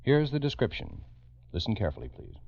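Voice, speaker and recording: A man's voice: medium pitch, huge pitch swings, a little fast, darkish tone, dry recording, lo-fi sound. A man narrating a 1940s radio crime program in a grave, emphatic, urgent manner on an old recording. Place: radio studio.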